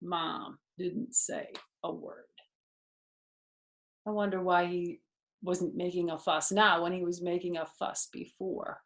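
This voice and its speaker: A woman's voice: on the low side, creaky, fairly bright tone. An older woman reading a children's storybook aloud expressively, with explanatory asides.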